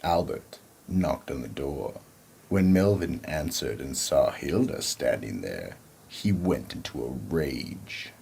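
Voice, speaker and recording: Very deep gravelly male narrator. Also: expressive, takes it slowly, a little mellow, a little hiss or hum. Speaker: narrator and announcer. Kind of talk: dramatic scripted radio mystery narration.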